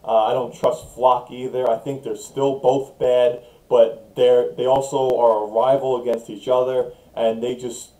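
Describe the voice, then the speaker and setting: Young guy vlogging a recap, mellow voice, chatty, spins theories. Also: medium pitch, level tone, poor audio. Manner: chatty and theorizing, quick bursts. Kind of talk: casual recap monologue.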